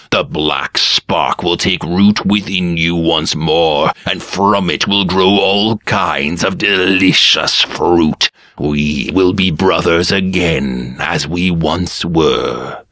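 A man voicing a cruel aristocratic gentleman who taunts with slow, cheerful menace. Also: deep voice, dry recording, studio quality, heavily acted. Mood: gloating.

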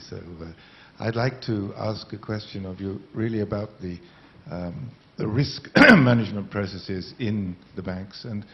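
Older guy asking a long question in a lecture hall, very low gravelly voice, faint room noise. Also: lo-fi sound. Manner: long winding question, deliberate. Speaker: audience questioner. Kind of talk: formal question from the floor.

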